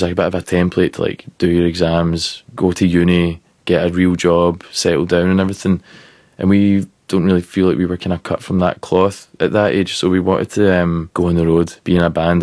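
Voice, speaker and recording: Deep flat-voiced Glaswegian man. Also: no echo, clean studio sound. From Scotland. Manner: relaxed, rambling, lots of "like" and "kinda". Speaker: musician guest. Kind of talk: casual interview chat.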